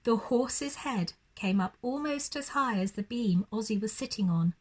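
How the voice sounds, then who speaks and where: A woman reads a storybook to young children at a slow pace. Her fairly high voice moves up and down expressively, in the manner of a primary teacher at storytime. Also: lively intonation, slightly rough. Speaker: primary school teacher reading a bedtime story.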